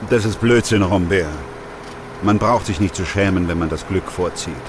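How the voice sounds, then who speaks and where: An actor playing a weary, sober doctor and narrator in a German radio play, speaking in a measured, restrained way. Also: male voice, low-pitched, noisy background, lightly acted. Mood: troubled. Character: weary, sober male doctor who narrates a plague chronicle.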